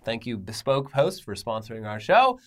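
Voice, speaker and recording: Male co-host for casual news commentary. Mid-range voice, highly animated intonation, wry and chatty delivery. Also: moderate pace. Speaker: co-host of an entertainment news show.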